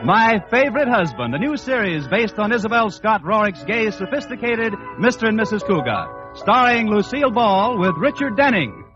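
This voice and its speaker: Male 1940s radio announcer, highish swooping pitch, dull lo-fi recording, music behind. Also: very animated, normal speed, hardly any pauses.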